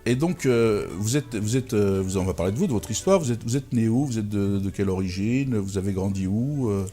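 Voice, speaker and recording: Halting man hosting an interview. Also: on the low side, animated, dark tone, faint music behind. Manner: halting, repeats words and fills with euh. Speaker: talk show host. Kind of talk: interviewing a guest.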